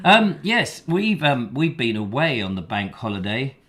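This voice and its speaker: A man trades silly, rambling jokes as co-host of a chatty show. His voice moves freely up and down, lively and expressive, at an everyday pitch for a man. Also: a little slow. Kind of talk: casual comedic chat.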